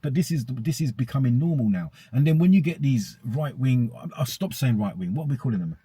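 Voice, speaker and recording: A man's voice: mid-range voice, expressive, brisk, dark tone, husky, no echo, clean studio sound. A man with a British accent co-hosting a podcast, giving opinions in casual conversation with an emphatic, colloquial manner and repeating phrases for emphasis. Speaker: podcast co-host.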